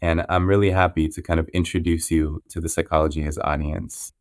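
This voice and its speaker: Man, podcast host, low flat voice, measured earnest talk, dry close sound. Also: dry recording. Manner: measured, with frequent restarts and "you know". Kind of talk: interview intro, earnest and reflective.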